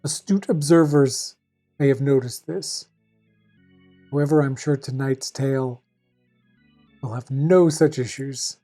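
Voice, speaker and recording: A woman storyteller on an evening fairy-tale show, deadpan and formal, her low voice sitting over faint music. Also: measured pace, long pauses. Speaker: fairy tale reader and host. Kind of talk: scripted storybook narration with dry asides.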